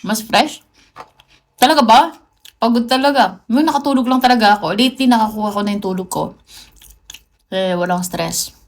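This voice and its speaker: A woman's voice: fairly high pitch, normal speed, pauses often. A Filipino woman chatting casually and playfully on a livestream, mixing in English. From Philippines. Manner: playful, chatty, mixes English into her talk. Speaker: livestream host.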